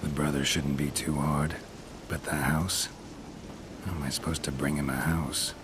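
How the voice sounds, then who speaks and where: An extremely low, gravelly male voice plays a weary mercenary monster hunter in a video game. He is laconic and dry, delivering sarcasm in a flat deadpan. Loud rain and thunder sit behind the words. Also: moderate pace, noisy background. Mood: dry, reluctant.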